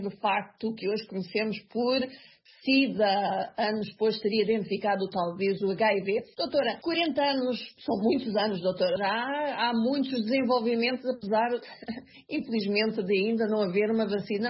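A woman hosting a radio health segment, chatty and repeatedly addressing her doctor guest, with a husky voice. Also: a little high. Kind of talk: radio health program presenting. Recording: dull radio broadcast sound.

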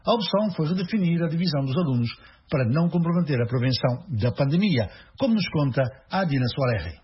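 A man's voice: on the high side, animated, fast. Man radio news anchor, formal delivery. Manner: crisp formal announcing. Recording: dull radio broadcast with faint hiss.

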